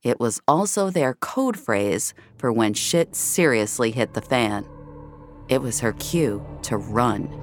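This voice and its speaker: A narrator reading scripted audio fiction in a wry, hard-boiled storytelling manner. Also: mid-range voice.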